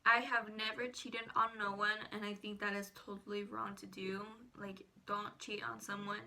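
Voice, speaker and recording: A woman's voice: medium pitch, little pitch movement, moderate pace, bright, forceful tone. An eighteen-year-old woman, a native Spanish speaker, chatting casually to viewers as a vlogger. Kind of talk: casual personal chat to viewers.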